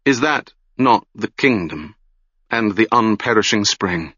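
An earnest young man on a long journey, restless and sincere, voiced by a male narrator. He speaks low and slowly. The recording is dry and slightly muffled. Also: animated, pushed and bright, slightly rough, no echo, heavily acted. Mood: joyful.

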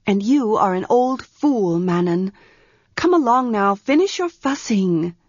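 A woman voicing a young priestess girl who gives sharp, commanding orders in an audiobook. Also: medium pitch, expressive, unhurried, no room sound, highs cut off. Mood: impatient. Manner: commanding, scoffing. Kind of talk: audiobook character voice.

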